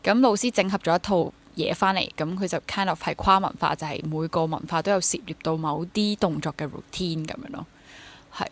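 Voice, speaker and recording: A woman's voice: fairly low pitch, brisk. Young Hong Kong woman, conversational. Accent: Hong Kong Cantonese. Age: young adult. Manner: code-switches into English words. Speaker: interview guest, theatre actor and drama teacher. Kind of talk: casual interview conversation.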